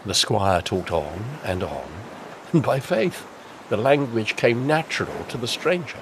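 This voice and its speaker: A man's voice: on the low side, lively intonation, measured pace, noisy background. A man narrating a scripted audio drama as a dramatic storyteller. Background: music.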